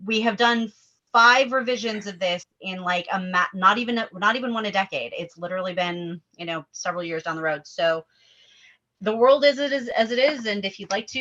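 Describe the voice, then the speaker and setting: A woman with a bright, forceful voice hosts a casual film discussion. She is animated and opinionated and wanders into asides. The sound is a little dull and lo-fi. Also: mid-range voice. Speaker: host leading a table-read discussion.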